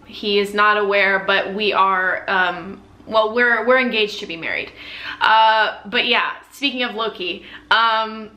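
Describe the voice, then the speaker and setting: A woman's voice: medium pitch, a little slow, sharp tone. A young adult woman with an American accent chats casually and enthusiastically to camera, sharing recommendations with emphatic, chatty delivery. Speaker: vlogger. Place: living room at home. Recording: roomy indoor echo.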